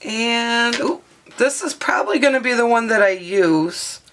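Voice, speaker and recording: A hoarse woman in her thirties, her voice partly lost, chatting casually with many fillers as she talks through a craft tutorial at her home studio desk. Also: on the high side, animated, a little slow, fairly bright tone, slight background noise, low-quality recording. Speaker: craft video host. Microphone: overhead camera mic, speaker off-frame.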